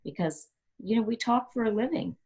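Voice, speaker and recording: A woman's voice: on the high side, animated, a little fast, a little mellow, slightly rough. A middle-aged woman, a yoga teacher and singer, giving earnest, encouraging advice in an interview and repeating her key phrases.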